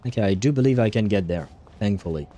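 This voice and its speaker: A man's voice: on the low side, expressive, fast, mellow, slight background noise. A man giving casual livestream commentary as he plays a game, muttering to himself and swearing now and then. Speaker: livestream host.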